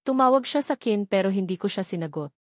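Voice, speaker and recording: A woman's voice: mid-range voice, animated, fast talker, dry recording. Filipino woman narrating, close and dry. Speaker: audiobook narrator. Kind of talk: scripted romance novel narration.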